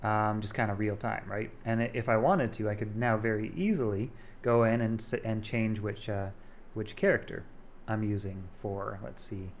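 A man giving a casual, halting screen-recorded demo as a game developer, thinking aloud as he goes. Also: lowish voice, steady tone, a little hiss or hum. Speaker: game developer showing a project.

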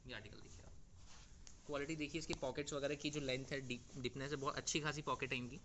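Fast-talking male wholesale seller. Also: medium pitch, steady tone, a bit bright, slight background noise. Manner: persuasive, reassuring sales patter. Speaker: wholesale seller presenting stock. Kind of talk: product showcase pitch to retailers. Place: clothing warehouse or shop.

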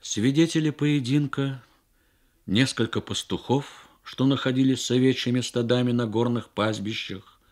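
A man reading literary prose as a dramatic radio narration, in measured, deliberate phrases with pauses. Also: medium pitch, a little mellow. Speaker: reader of a short story on radio.

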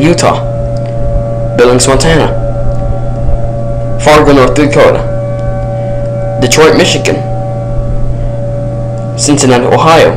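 A man delivers an amateur mock emergency announcement at an average pitch. The homemade recording has a steady hum and clear noise behind his voice. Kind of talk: homemade emergency broadcast roleplay.

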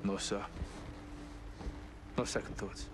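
A man's voice: slow pace, loud music behind the voice, natural voice. A man playing an earnest, questioning young army sergeant, urgent and direct, in a cinematic game. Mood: troubled. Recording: loud score, siren and vehicle sounds.

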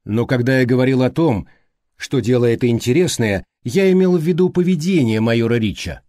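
Guy doing older detective man in an audiobook, insistent, lots of pointed questions, lively pitch, soft mellow tone. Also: brisk, dry recording, clean studio sound, lightly acted. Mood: intrigued. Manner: emphatic, reasoning aloud, rhetorical questioning. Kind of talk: audiobook character dialogue.

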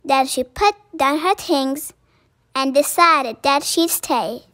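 A child reading a picture book aloud in a careful, deliberate way. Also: sits high, a bit bright, no room sound, studio quality. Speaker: story reader.

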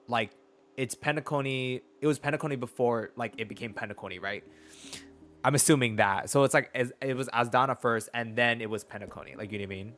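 A man's voice: medium pitch, fast, a bit bright. A man in his twenties giving casual, sassy, slangy reaction commentary as a game streamer. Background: game music.

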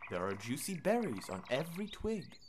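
A woman voicing friendly, chatty little storybook birds in a gentle, inviting singsong. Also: sits low, big pitch swings, normal speed, noisy background.

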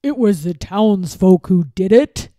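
A woman's voice: medium pitch, lively intonation, unhurried, warm tone, no room sound, clean studio sound, natural voice. A woman voicing a scheming, bullying lord of a royal castle in a children's story, with a harsh, commanding manner. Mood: false solemnity.

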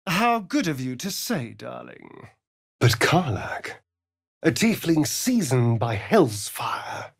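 An ancient, vain male vampire rogue from a fantasy video game. The delivery is slow and savouring, with pitch that swoops theatrically. He sounds arch and mocking, recorded very dry and close. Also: sing-song, vocal fry, breathy edge, dry recording, exaggerated voice. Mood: wry, amused. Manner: arch, flamboyant, drawling, mocking. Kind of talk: video game character acting.

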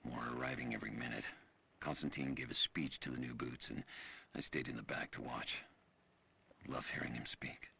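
A man playing a devoted soldier in a video game, reflecting in a gravelly voice as he recites his private journal. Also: extremely low pitch, fairly even intonation, fairly quick, pushed and bright, heavily acted. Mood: quietly devoted. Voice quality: gravelly, creaky. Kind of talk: scripted game voice acting, reflective monologue. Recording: clear background noise.

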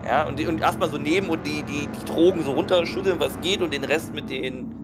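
Higher-voiced man playing a tabletop game. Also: fairly quick. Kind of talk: casual in-game chat. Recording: music playing behind the voice. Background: background music.

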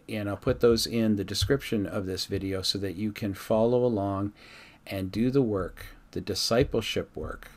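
A man speaking as a pastor, reading a devotional in a measured, earnest, formal way. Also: fairly low pitch. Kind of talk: devotional reading with reflective address to listeners. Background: background music.

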